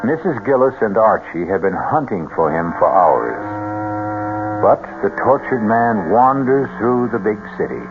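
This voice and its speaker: Casting: grave mystery host, low voice, suspenseful scripted narration, narrow vintage broadcast sound. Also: male voice, sing-song, a little slow, background noise. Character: grave, ominous male storyteller of mystery tales. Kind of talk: scripted dramatic narration, old-time radio. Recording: tinny old radio broadcast. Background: music.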